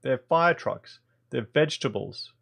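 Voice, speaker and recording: A man's voice: medium pitch, animated, a little slow, gravelly, a bit bright. A man in his thirties whose first language is German, reading a news article aloud and breaking in with sarcastic, exasperated commentary. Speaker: political commentator.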